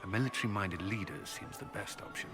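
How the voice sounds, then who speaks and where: An actor playing a stern but socially awkward military commander in a video game, speaking in a clipped, earnest way. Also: male voice, fairly low pitch, quick pace, lightly acted. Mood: businesslike. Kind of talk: video game dialogue acting. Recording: clear music behind the voice.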